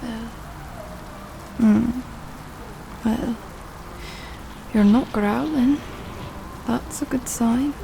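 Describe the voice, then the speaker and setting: A woman's voice: a little high, extremely slow, creaky, pauses often, noisy background, exaggerated voice. A woman playing a tired goth nightclub worker with a Scottish accent, sardonic but tender, speaking intimately to the listener in an audio roleplay. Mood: gentle, wry. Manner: sardonic, muttering, softly cooing, sighing. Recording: rain and city ambience behind voice. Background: rain on surfaces, thunder, city ambience.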